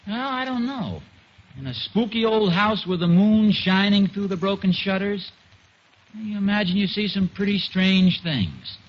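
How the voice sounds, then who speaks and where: A man's voice: sits high, a little slow. An actor playing a mild, playful, boyish suburban father, with hesitant banter in a 1940s radio comedy. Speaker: sitcom lead actor. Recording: dull, noisy 1940s radio recording.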